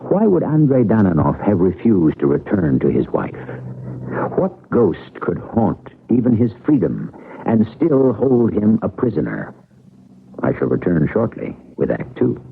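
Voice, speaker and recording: A man's voice: on the low side, very animated, a little slow, creaky, slightly rough. A man hosting a radio drama, delivering theatrical, suspenseful scripted narration full of dramatic questioning. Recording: tinny vintage radio, music behind.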